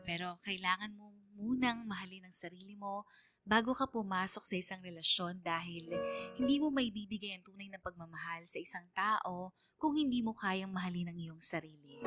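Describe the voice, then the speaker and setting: Filipino female radio DJ, mid-pitched, scripted story narration, guitar music loud underneath. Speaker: radio DJ, narrator of listener stories. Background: acoustic guitar music.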